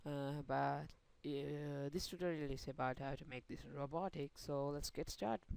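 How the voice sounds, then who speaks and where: Gravelly man giving a software tutorial. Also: a little high, normal speed. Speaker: tutorial host. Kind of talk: screen-recorded software tutorial.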